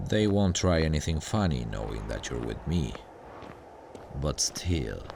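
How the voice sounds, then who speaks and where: A man playing a sardonic demon guardian of hell in a slow ASMR roleplay, smug and dryly menacing, with a very deep, gravelly voice. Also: exaggerated voice. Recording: noisy ambient sound bed. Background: hellish ambience.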